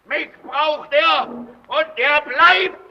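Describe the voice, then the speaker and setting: An actor playing a possessive, brooding lighthouse man in an old radio drama, halting and insistent, repeating his phrases. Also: extremely high pitch, creaky, exaggerated voice. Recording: dull vintage radio play recording.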